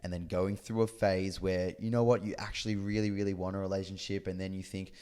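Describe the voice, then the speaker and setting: A man's voice: sits low, flat delivery, brisk, a little mellow, clear, resonant tone. A man in his twenties co-hosting a podcast, friendly and easygoing, steering the casual chat. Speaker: podcast co-host.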